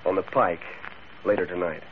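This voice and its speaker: An actor playing a bossy, crafty gang leader in 1940s radio style, commanding and slangy. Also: male voice, low voice, steady tone, normal speed, lightly acted. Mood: scheming. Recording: tinny, faintly noisy old radio recording.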